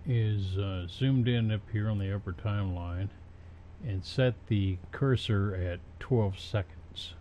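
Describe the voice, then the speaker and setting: A man presenting a casual, unscripted software tutorial, haltingly thinking aloud as he works. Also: on the low side. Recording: dull, muffled home recording with faint noise.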